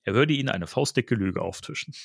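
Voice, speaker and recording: Animated German man, dry close sound. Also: mid-range voice, brisk, gravelly, husky, no echo. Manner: joking asides, dramatic emphasis while reading. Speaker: podcast co-host reading a film novel aloud. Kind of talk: playful story reading with casual banter.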